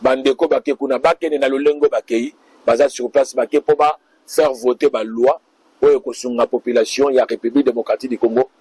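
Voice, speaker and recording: A man's voice: mid-range voice, fairly even intonation, brisk, warm tone, husky, no echo. A man answering interview questions as a party official, speaking in a speechlike way to the public.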